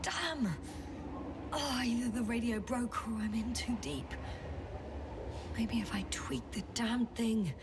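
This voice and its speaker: A woman playing a weary, sardonic, stranded space scientist in a video game, muttering to herself in halting, breathy speech. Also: fairly high pitch, very noisy, lightly acted. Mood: frustrated, wry. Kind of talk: video game dramatic monologue. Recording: distant voice under loud watery ambience.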